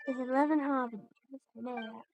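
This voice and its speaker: A woman's voice: sits high, fairly even intonation, fairly quick, gravelly, a little mellow, highs cut off, faint music behind. A woman giving casual, off-the-cuff commentary while playing a video game.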